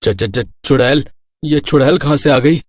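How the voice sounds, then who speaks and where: A man playing a bullying, menacing hijacker in a cartoon horror story, with a forceful threatening manner. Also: medium pitch, unhurried, no room sound, clean studio sound, lightly acted. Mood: terrified. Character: menacing male hijacker, bullying but easily scared.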